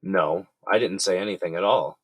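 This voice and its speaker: Low-voiced, weary man on trial, acted by a man. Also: moderate pace, slightly rough, no echo. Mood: subdued, confiding. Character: weary middle-aged defendant confiding in his lawyer. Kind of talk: audio drama dialogue.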